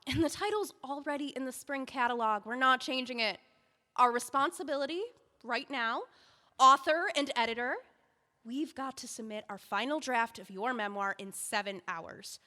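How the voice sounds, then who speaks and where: An anxious young editor pleads urgently, as an actress plays her. Her voice is high and bright and rises and falls a lot. A long reverb hangs behind her. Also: normal speed. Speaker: book editor. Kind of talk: audio drama acting.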